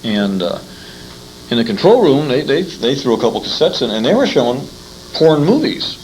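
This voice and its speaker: A man chatting informally as an interviewer, rambling and halting, often repeating his words. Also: medium pitch, sing-song, darkish tone. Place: old-time radio convention. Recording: faintly noisy amateur convention tape.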